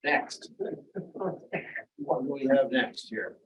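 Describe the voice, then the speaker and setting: A man chairing a town meeting, briskly calling up the next speaker. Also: medium pitch, husky, poor audio. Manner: brisk, calls speakers in turn.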